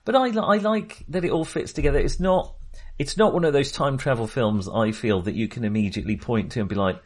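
A man's voice: mid-range voice, a little fast, darkish tone. A British man co-hosting a casual film discussion, speaking in a hesitant, rambling way and often interrupting himself. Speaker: film podcast co-host.

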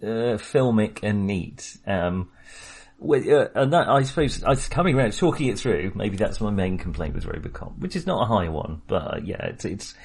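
A man's voice: lowish voice, expressive, moderate pace, slightly rough. A man co-hosting a casual film podcast, talking with many fillers and small laughs. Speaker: podcast co-host.

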